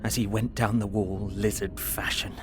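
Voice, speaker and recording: A man's voice: fairly low pitch, little pitch movement, normal speed, over music, natural voice. An actor playing a fearful, earnest young Englishman, narrating his journal in a dramatic audio drama. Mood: grim dread.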